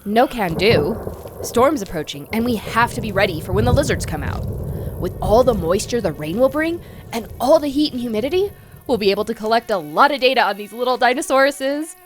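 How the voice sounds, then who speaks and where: A woman's voice: fairly high pitch, animated, a little fast, close to an everyday voice. A woman playing a keen, take-charge lizard researcher in an audio drama. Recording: clear background noise.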